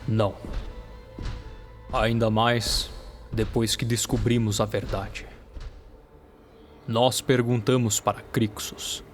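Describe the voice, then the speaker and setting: A man's voice: on the low side, level tone, slow pace, pauses often. A man with a Brazilian accent giving dramatic first-person narration for an audio drama. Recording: music bed under the voice. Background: music.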